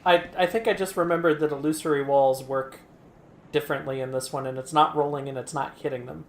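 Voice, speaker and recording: A man's voice: fairly high pitch, fairly even intonation, a little fast. A man hosting a let's play, chatting casually and rambling through his thoughts with lots of fillers. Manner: rambling, many fillers and restarts. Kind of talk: casual gaming chat. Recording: roomy mic with audible noise.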